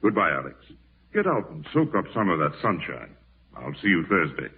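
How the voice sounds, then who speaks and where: An old, phone-thin radio recording holds a country doctor with an extremely low, rough voice. He speaks plainly and brusquely, never ruffled. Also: very animated, moderate pace, full character voice. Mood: reassuring, matter-of-fact. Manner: matter-of-fact, dry, brusque. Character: blunt, unflappable country family doctor. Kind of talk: 1940s radio horror acting. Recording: narrow-band vintage radio broadcast.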